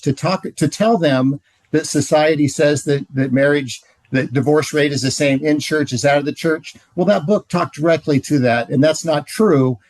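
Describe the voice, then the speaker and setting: A man gives an informal presentation in a mid-pitched voice with very little room sound. He is halting, often doubling back to repeat a phrase. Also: darkish tone, no echo. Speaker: presenter in marriage ministry.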